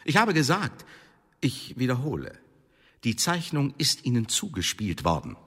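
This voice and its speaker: An actor playing a cold, sardonic Elizabethan secret-service interrogator in a German radio drama, speaking in a pedantic, condescending, formal way. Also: male voice, medium pitch, wildly swooping pitch, a little slow, a bit bright, husky, exaggerated voice. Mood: sharp, insistent.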